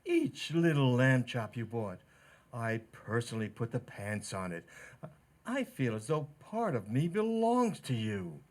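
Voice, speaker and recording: Slow-talking, amorous butcher, radio comedy played by a man. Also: medium pitch, sing-song. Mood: flirtatious, smitten. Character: amorous, cheesy butcher wooing a married woman. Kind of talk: old-time radio sitcom acting in community theater.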